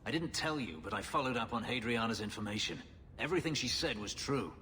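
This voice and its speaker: A man's voice: mid-range voice, expressive, fast talker, pushed and bright. An actor playing a brooding, guarded male elf who escaped slavery, intense and terse, in a video game. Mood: worried, guarded. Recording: faint background noise.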